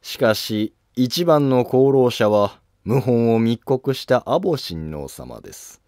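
A man playing a calculating, courteous court noble in a historical drama, polite, measured and self-assured. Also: medium pitch, big pitch swings, mellow, no room sound, clean studio sound. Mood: courteous, humble.

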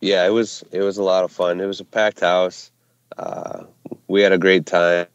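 A man's voice: sits low, little pitch movement, moderate pace, clear, resonant tone, no room sound, highs cut off. A man, co-founder of a small brewery, answering interview questions casually with many fillers and restarts. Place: Ohio hometown brewery.

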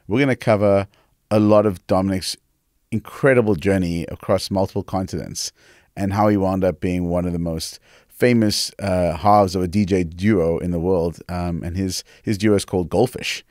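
A man's voice: deep voice, normal speed, darkish tone, no room sound. A South African man in his forties hosting a conversational interview podcast at a close microphone in a studio. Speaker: podcast host. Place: small indoor podcast studio. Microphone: close studio microphone on arm.

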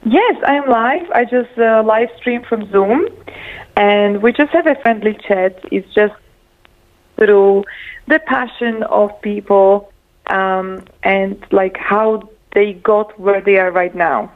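A woman's voice: medium pitch, slow. A woman from Slovakia, a guest speaking over a call, enthusiastic and laughing often. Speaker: guest, live show host. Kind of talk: casual interview on a call. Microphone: remote call. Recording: phone-like remote call line.

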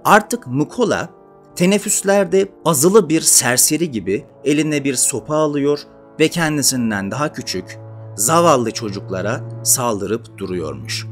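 A man hosts a storytelling video with theatrical, wry delivery. His voice sits at a middle pitch and swings widely as he narrates and turns aside to his audience. Music plays faintly behind him. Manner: mock-fairy-tale, theatrical, wry. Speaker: video essay and podcast host. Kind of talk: animated storytelling with asides to viewers.

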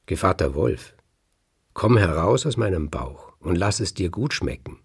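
A man voicing a cunning, demanding rooster in a folk tale, with insistent, drawn-out calls. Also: low voice, animated, breathy edge, full character voice. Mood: commanding.

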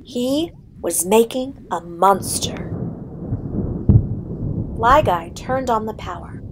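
A woman's voice: on the high side, lively intonation, slow pace. A woman narrating a scripted children's story as a storybook reader. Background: faint noise, sound effects.

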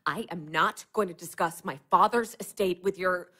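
An actress playing a wounded, indignant adult daughter in an audio play, with an emotional, protesting manner. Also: female voice, fairly low pitch, moderate pace, bright, forceful tone. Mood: hurt, indignant.

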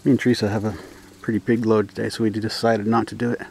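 A man narrating his canoe trip outdoors in a relaxed, rambling way with many fillers, recorded on a camera. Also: fairly low pitch, fast talker, vocal fry, breathy edge. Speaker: outdoor vlogger.

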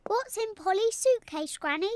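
A voice actor playing a cheerful, bossy little girl pig of about four in a preschool cartoon, with a bright childlike voice and simple, eager phrasing. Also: very high voice, animated, normal speed. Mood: excited, curious. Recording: music behind the voice.

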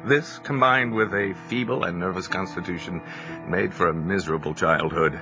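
An actor playing an earnest nineteenth-century farm man telling his life story in an audio drama, reflective and measured, with music behind him. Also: deep voice, muffled recording. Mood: wistful. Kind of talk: audio drama first-person narration.

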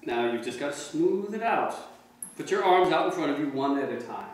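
A man's voice: fairly high pitch, lively intonation, fairly quick, natural voice. A middle-aged man reading the part of a kindly, encouraging American tourist in his forties in a staged play reading. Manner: casual, reassuring. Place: wood-paneled mansion room. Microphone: distant camera microphone. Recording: very echoey room, distant mic, faint noise.

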